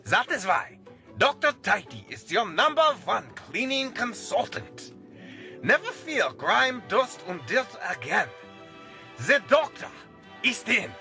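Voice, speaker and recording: A man's voice: extremely high pitch, big pitch swings, slow, bright, forceful tone, soft background music, exaggerated voice. A man playing a pompous, self-assured doctor in a scripted radio ad, grandly formal and salesy.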